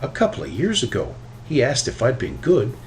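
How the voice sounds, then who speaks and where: A matter-of-fact big brother bear cub from a picture book, acted out by a man. He sounds knowing and animated, over faint background noise. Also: medium pitch, fairly quick.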